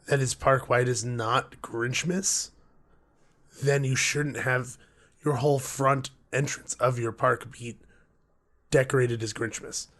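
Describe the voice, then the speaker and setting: A man co-hosting a podcast with a hoarse, gruff voice, chatting casually and joking around. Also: medium pitch, a bit bright. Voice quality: gruff, rough. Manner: joking, rambling, laughs often. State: hoarse, losing his voice. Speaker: podcast co-host. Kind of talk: casual chat.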